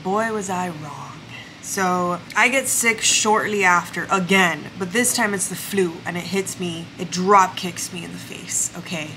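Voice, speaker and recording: A woman's voice: fairly low pitch, measured pace, bright, forceful tone, slight background noise, poor audio. Congested woman chatting to camera. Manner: chatty, storytelling, lots of "like" fillers. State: congested from the flu. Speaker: lifestyle vlogger. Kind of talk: casual vlog chat to camera. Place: home bedroom, indoors.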